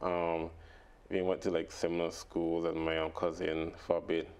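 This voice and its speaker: Brief: young man, very low and gravelly voice, halting and laughing as a radio guest. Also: monotone, darkish tone. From British Virgin Islands. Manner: halting, laughs often, many fillers. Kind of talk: casual radio interview. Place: radio studio.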